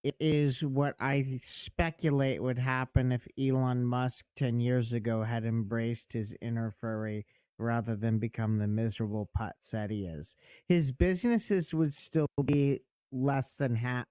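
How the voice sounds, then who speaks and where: Man, slow measured talk, smooth voice, dry and sardonic, dry close sound. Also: mid-range voice, darkish tone, no echo. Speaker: guest on the stream. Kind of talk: casual chat.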